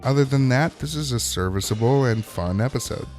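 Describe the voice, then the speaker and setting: A man's voice: medium pitch, lively intonation, fairly quick, darkish tone, soft background music. A man hosting a scripted recap and review of a TV episode, narrating in a wry, opinionated way. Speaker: host of a TV episode recap show.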